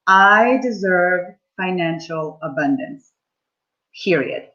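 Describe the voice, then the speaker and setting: A woman's voice: fairly low pitch, animated, takes it slowly, bright, forceful tone, dull audio. Upbeat woman coach in her forties. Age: 40s. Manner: upbeat, encouraging, addresses the audience directly. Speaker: money mindset coach. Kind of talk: live online coaching session.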